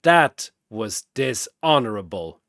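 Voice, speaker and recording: A man's voice: medium pitch, lively intonation, slow pace, vocal fry, a bit bright, dry recording, clean studio sound, full character voice. A man voicing an earnest, noble muscular hero who declaims with loyalty in a fantasy battle story. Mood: grave.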